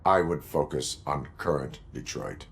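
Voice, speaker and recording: A man playing a stern, dutiful cyborg police officer in a video game, speaking in a clipped, deliberate deadpan. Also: a bass voice, creaky, slight background noise, exaggerated voice.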